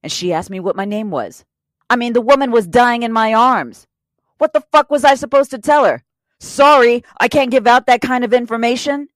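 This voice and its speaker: A woman's voice: fairly high pitch, normal speed, no room sound, natural voice. A woman playing a loyal, hot-tempered robber wracked with guilt in an audio drama, speaking in a blunt, earnest, profane way. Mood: distraught, defensive. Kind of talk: audio drama crime acting.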